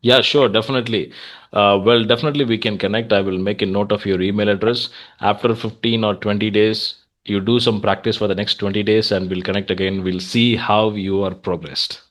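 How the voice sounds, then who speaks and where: A man's voice: on the low side, steady tone, a little fast. A man with an Indian accent chatting casually as an English practice coach, giving feedback and advice in an encouraging way.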